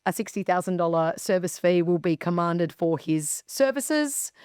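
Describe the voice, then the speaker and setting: A woman's voice: on the low side, animated, moderate pace, a little mellow, smooth, dry recording, studio quality. An Australian woman co-hosting a horse racing show, chatting and commenting with emphatic enthusiasm. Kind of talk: casual racing chat and commentary.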